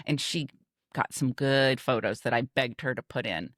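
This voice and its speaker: A woman's voice: very deep voice, normal speed, fairly bright tone, no room sound, clean studio sound. A woman in her fifties with an American accent, reminiscing casually as a podcast co-host.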